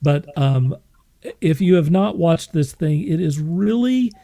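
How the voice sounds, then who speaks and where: A man hosting a podcast, reviewing things in an enthusiastic, casual way, emphatic and effusive with halting repetition. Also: a little high, animated, gravelly, mellow. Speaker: podcast host.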